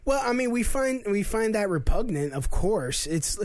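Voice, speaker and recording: Man, panel guest, hesitant, repeats words, somewhat high voice, casual talk. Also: very animated, normal speed, dry recording. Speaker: guest, men's rights activist.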